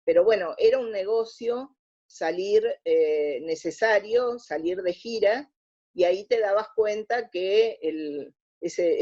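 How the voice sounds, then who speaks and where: A woman with an Argentine accent, speaking as an interview guest, telling anecdotes in a halting, reflective way. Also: on the low side, darkish tone. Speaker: interview guest, radio theatre historian. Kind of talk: conversational interview, anecdotal storytelling. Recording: dull lo-fi audio.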